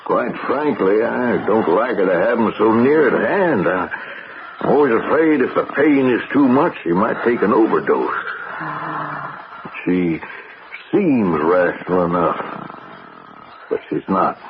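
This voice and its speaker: A man playing a paternal middle-aged rancher husband in an old-time radio drama, courteous and halting. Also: fairly low pitch, expressive. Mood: grave, concerned. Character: courtly older rancher husband, guarded and solicitous. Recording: muffled, noisy old radio broadcast.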